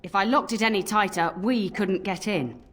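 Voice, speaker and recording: An actress playing an irascible, bossy castle cook in a fantasy video game, scolding and blustering. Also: female voice, mid-range voice, moderate pace, fairly bright tone, natural voice. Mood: exasperated anger.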